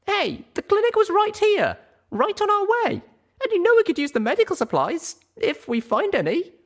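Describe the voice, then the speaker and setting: A man voicing a curious young female pony adventurer, eager and quick to protest, in an audiobook story. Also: very high voice, wildly swooping pitch, voice pushed up, full character voice. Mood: eager, defensive.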